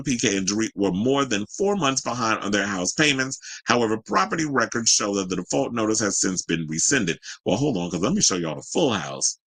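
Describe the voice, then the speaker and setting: A man's voice: lowish voice, fairly bright tone. An American man hosting a celebrity news show, reading stories out and breaking off into sassy, colloquial, casual asides. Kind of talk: casual gossip commentary mixing read-out news with ad-libbed asides.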